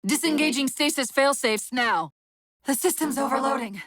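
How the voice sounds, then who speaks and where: A woman playing a female military AI companion in a video game, giving crisp tactical commands with dry, sardonic wit. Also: high-pitched, normal speed, fairly bright tone, no room sound. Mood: focused, brisk.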